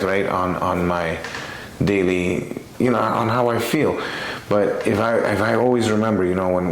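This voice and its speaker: A man co-hosting a podcast, talking conversationally and earnestly about faith, repeating phrases for emphasis. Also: lowish voice. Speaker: podcast co-host. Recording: echoey room with faint background noise.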